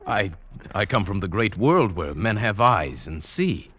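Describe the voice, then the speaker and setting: An actor playing an eager, exasperated lost traveller in a vintage radio drama. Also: male voice, fairly low pitch, sing-song, unhurried, fairly bright tone, exaggerated voice. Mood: insistent, exasperated. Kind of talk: 1940s-50s radio drama acting with first-person narration. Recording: dull vintage radio broadcast recording.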